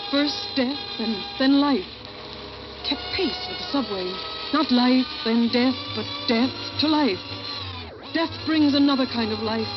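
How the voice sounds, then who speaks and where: A muffled old radio recording with prominent music carries a desperate, embittered woman. She narrates her murderous thoughts in a brooding, dramatic confession, in the manner of a 1940s suspense broadcast. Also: sits high. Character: bitter, desperate failed actress secretly plotting murder. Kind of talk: 1940s radio thriller acting, first-person narration.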